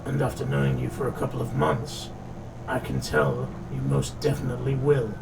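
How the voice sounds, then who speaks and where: A man playing a stern, suspicious city boss in a noir audio drama, gravelly, measured and condescending. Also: mid-range voice, very animated, recorded from a distance, noisy background. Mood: stern, cold.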